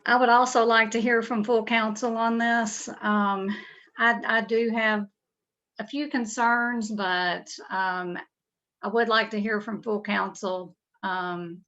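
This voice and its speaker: Casual: council lady, slow n hesitant, lots of ums, muffled lo-fi audio. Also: medium pitch, steady tone, a bit bright. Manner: hesitant, halting. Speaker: council member. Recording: dull lo-fi sound.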